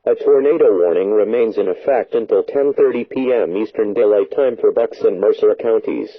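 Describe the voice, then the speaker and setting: A synthetic-sounding man reads scripted emergency weather warnings as a broadcast announcer, formal and flat, with a radio alert sound. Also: on the low side, normal speed. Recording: muffled, echoey lo-fi radio broadcast.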